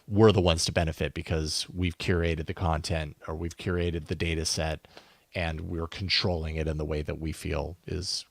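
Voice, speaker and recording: A man's voice: low-pitched, a bit bright, dry recording, studio quality. A man co-hosting a podcast, talking through tech news casually and conversationally, thinking aloud as he goes. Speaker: podcast co-host presenting news.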